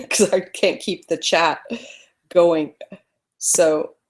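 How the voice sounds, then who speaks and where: A woman's voice: on the low side, drawn-out words, a little hiss or hum. A woman hosting a live photography webinar, chatting through screen-sharing trouble on a computer mic. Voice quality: loud voice. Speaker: webinar presenter teaching photography. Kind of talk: casual live webinar teaching.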